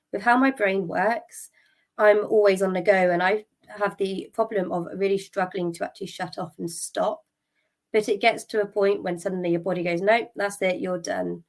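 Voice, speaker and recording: A middle-aged woman, hosting a solo podcast, a little croaky from a cold, talking openly and a bit wearily, with ums and restarts. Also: mid-range voice. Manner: open and candid, thinking aloud, with ums and restarts; tired and drained. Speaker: podcast host. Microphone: podcast microphone.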